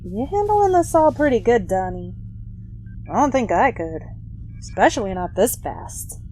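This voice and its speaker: A woman voices a kindhearted, goofy teen turtle boy. The voice is high and swoops widely, breezy and boyish, in audio drama style with music behind. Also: sing-song, a little slow, a little mellow. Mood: sympathetic.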